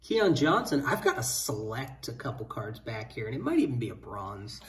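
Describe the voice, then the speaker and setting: A man in his thirties co-hosting a card-opening show, excitedly calling out each card he pulls in casual chat. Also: mid-range voice, moderate pace, far from the mic. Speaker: co-host opening card packs. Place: indoor room at a table.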